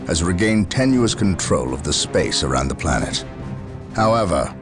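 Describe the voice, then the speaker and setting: An actor playing a stern veteran space marine commander in a video game, with formal, authoritative military diction. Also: male voice, rumbling low. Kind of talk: video game cutscene acting.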